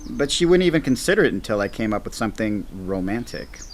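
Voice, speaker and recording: A man's voice: lowish voice, big pitch swings, fast talker, a little hiss or hum. An actor playing an easygoing, teasing male space station commander with a casual, wry manner in an audio drama. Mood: playful, fond.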